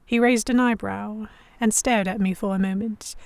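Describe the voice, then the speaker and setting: A woman playing a prim, anxious yet defiant young Victorian noblewoman, narrating a historical romance audio drama in a formal, expressive way. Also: mid-range voice, normal speed, vocal fry, no echo, close to an everyday voice. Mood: tense narration.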